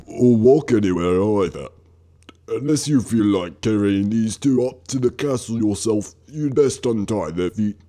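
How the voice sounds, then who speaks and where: A man's voice: on the low side, lively intonation, a little slow, mellow. A man playing a scheming, condescending kidnapper, the smarter of two henchmen, in an amateur radio drama. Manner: condescending, sardonic.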